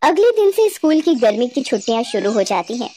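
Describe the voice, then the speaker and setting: A woman's voice: fairly high pitch, very animated, fairly quick, mellow, faint music behind. A woman narrating a cartoon moral story from a script.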